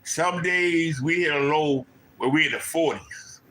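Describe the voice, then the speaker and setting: A man from Maryland rants incredulously about someone in a video, repeating his points and firing off mocking questions. His voice sits a little high and sounds bright and forceful. The room around him is echoey. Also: unhurried. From Maryland, USA. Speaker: commentary channel host. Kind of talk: ranting commentary on a clip. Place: home room.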